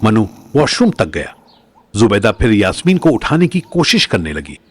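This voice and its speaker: A man's voice: fairly low pitch, wildly swooping pitch, moderate pace, a little hiss or hum. A man narrating a scripted audio drama in a dramatic storytelling style.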